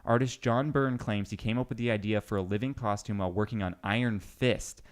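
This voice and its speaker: A man's voice: on the low side, fairly even intonation, brisk. A man hosting a casual pop-culture podcast, joking and enthusiastic as he talks and reads out bits of comic history. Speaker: podcast host.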